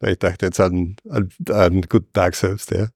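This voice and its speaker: A man with a very low voice answers a street interviewer, halting and searching for each word. The sound is close and dry, with no room around him. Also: level tone, darkish tone, husky, no echo. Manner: hesitant, searching for words. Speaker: passer-by interviewee.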